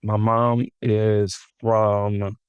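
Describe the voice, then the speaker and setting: A man from the Dominican Republic, a podcast guest, talking in a relaxed, thoughtful way with frequent ums and restarts, mixing English and Spanish. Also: lowish voice, very slow, clean tone, no echo, highs cut off. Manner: thoughtful, many ums and self-corrections. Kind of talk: casual interview, switching between English and Spanish.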